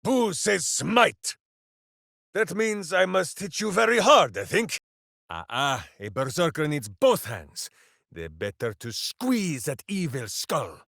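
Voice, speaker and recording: Casting: boisterous, earnest berserker hero, fantasy game; unhurried delivery, wildly swinging pitch. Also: male voice, very animated, creaky, fairly bright tone, no room sound, studio quality, heavily acted. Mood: battle fury. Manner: bombastic, earnest, talks of himself in third person. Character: big, simple, good-hearted berserker hero, boisterous and heroic. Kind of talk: fantasy video game voice acting.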